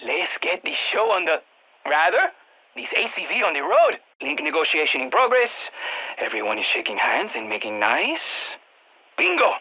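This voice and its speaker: An actor playing an anxious, excitable nerdy scientist in a video game, speaking over a radio, expressive and prone to stammering and repeating words. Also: mid-range voice, moderate pace, husky, highs cut off. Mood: brisk, focused, then gleeful. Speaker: field research scientist.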